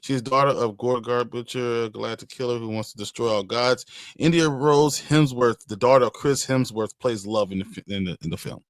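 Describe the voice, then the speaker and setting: A man's voice: medium pitch, normal speed, dry recording. Casual man chatting in a dry recording. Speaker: panelist on a pop-culture review show. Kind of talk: casual fan chat.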